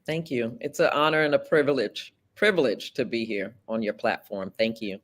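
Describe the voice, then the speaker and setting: A woman in her fifties from South Florida, running for office and answering an interviewer. She speaks earnestly and deliberately, with measured pauses between her thoughts. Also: very deep voice. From South Florida, USA. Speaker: political candidate.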